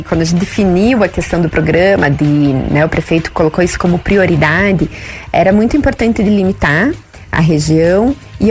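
Brief: female official, mid pitch, steady explanations. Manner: measured, with hedging fillers. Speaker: city program coordinator. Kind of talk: explanatory interview answer.

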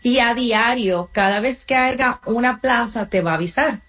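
A woman with a fairly high voice speaks as an interview guest. She gives practical explanations, leaning on examples and talking straight to the listener. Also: moderate pace, a bit bright. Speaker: guest, community nonprofit representative. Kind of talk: interview answers, explanatory advice.

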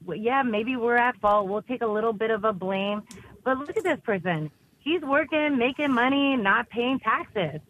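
A woman attorney joining a talk show remotely, speaking in a brisk, emphatic way. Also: a little high, expressive, narrow, tinny audio, slight background noise. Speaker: personal injury attorney. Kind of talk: call-in guest commentary. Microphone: video call.

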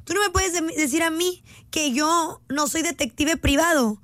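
Mexican female host, high voice, close dry sound, slangy playful banter with mock indignation. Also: normal speed, a bit bright, no echo. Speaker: live stream host. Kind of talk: casual chat with listeners.

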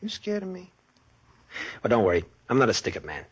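An actor playing a glib young racetrack hustler who talks in slang, in 1940s radio style. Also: male voice, medium pitch, wildly swooping pitch, slightly rough. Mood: guarded. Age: young adult. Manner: glib, fast-talking, slangy. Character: smooth-talking young male racetrack hustler.

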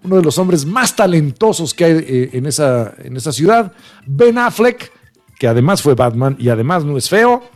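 A middle-aged man leads an entertainment segment on the radio. His delivery is steady and announcer-like, pitched slightly high. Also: moderate pace, faint music behind. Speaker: radio host. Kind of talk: entertainment news chat.

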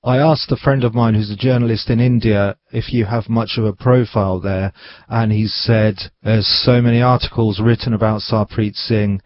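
A man working as a football reporter, giving analysis and asking questions in a measured, halting delivery. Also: steady tone. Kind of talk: broadcast report and interview. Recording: dull line with rumbling background noise.

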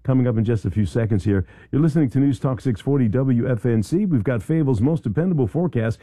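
A middle-aged male radio host chats with his guests in a conversational way. His voice is very dark and mellow, recorded close and dry. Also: medium pitch, quick pace, dry recording. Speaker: radio talk show host.

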